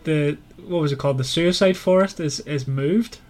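A man's voice: a little high, moderate pace, a little mellow. Man chatting casually as podcast host. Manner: conversational, questioning. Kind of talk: casual book discussion.

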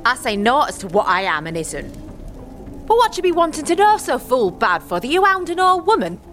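Old hedge witch: blunt, folksy, teasing, highly animated. Fantasy audio drama, faint music bed. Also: fairly quick, exaggerated voice. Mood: wary, scolding. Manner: rustic dialect, blunt, scolding. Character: gruff old village witch woman, wary, folksy, teasing.

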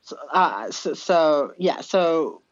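A woman musician talking about her song in a casual interview, rambling and laughing, with a low voice. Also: lively intonation, takes it slowly, dry recording, lo-fi sound. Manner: giggly, rambling with many fillers and restarts. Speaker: musician guest.

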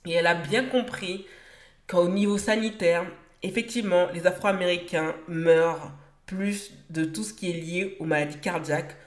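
A woman's voice: lowish voice, steady tone, moderate pace, roomy sound. A woman from France hosting a solo podcast, speaking earnestly in short emphatic phrases with the odd hesitation. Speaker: podcast host.

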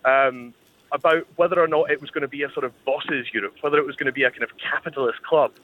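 Fast-talking Scottish man on a phone line. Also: on the high side, steady tone. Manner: measured, thoughtful, frequent hesitant pauses. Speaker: historian guest. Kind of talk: conversational expert explanation on radio. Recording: tinny phone line with faint noise.

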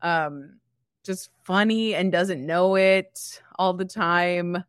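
A woman's voice: fairly low pitch, slow pace, clean tone, no room sound, clean studio sound. A woman co-hosting a podcast in casual comedic chat, with a playful, teasing, wry manner. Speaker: podcast co-host.

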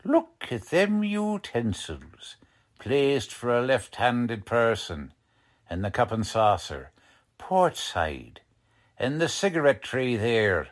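A man performs a hard-boiled police lieutenant from a 1940s radio play. He is gruff and bullying, with streetwise slang. His delivery is slow and deliberate, with strongly varied intonation. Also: medium pitch, big pitch swings. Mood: accusing, impatient. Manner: slangy, ungrammatical tough-cop talk, accusing. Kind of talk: 1940s radio drama acting.

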